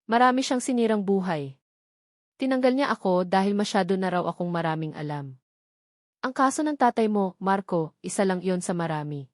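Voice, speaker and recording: A woman's voice: medium pitch, lively intonation, moderate pace, no echo, close to an everyday voice. An elderly accountant, voiced by a woman narrator, full of regret yet firmly resolved. It is storybook character reading, recorded dry. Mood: regretful, weary. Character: old male accountant, remorseful, resolved to testify.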